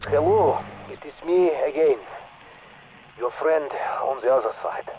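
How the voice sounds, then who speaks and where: An actor playing an earnest male Russian scientist speaking over a radio, with a Russian accent and a slow, measured manner. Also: a little high, expressive, warm tone, muffled recording, slight background noise. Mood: wry warning. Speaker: in-game radio character. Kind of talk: recorded game dialogue.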